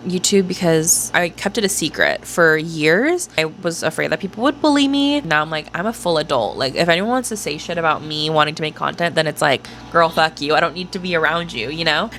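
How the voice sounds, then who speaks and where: A woman's voice: fairly low pitch, a bit bright, a little hiss or hum. A young woman vlogging casually to camera, chatty with lots of filler words and casual swearing, on a small clip-on mic. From Orange County, California. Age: young adult. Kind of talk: casual talking-to-camera vlog.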